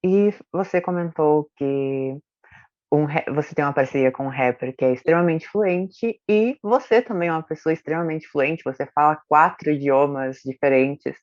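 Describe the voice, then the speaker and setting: A woman interviewer asking friendly, measured questions. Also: low-pitched, no room sound. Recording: dull dry sound.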